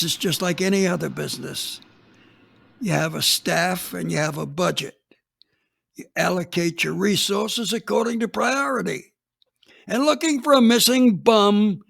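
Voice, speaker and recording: A man's voice: high-pitched, creaky, a bit bright, breathy edge. A man reading a dramatized first-person story as a police chief, plainspoken and matter-of-fact.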